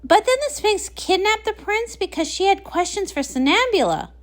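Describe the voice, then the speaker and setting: Woman acting out a cartoon party pony, very high voice, swooping intonation, playful, chatty, scatterbrained. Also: expressive, normal speed. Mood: eager. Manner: bouncy, chatty. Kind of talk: acted comic read-along.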